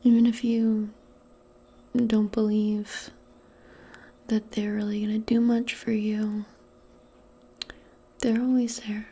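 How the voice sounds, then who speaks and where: A woman doing a soft-spoken ASMR roleplay, mostly in a breathy whisper, with a gentle, reassuring and hesitant way of talking. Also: medium pitch, level tone, slow, pauses often.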